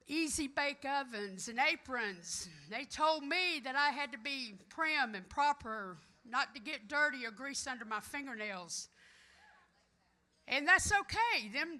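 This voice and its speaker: A middle-aged woman from the American South speaks in a high, forceful voice with a Southern accent. She addresses a conference as a union tradeswoman, loud and emphatic, with a folksy, rallying delivery. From American South, Florida. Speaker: union electrician and trades council president giving a speech. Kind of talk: rousing conference speech. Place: conference hall, addressing an audience.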